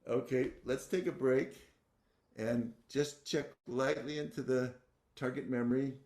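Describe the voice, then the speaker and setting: A man guiding a client through a therapy session over a video call, with gentle, unhurried prompts and many affirming murmurs. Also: mid-range voice, fairly even intonation, reverberant.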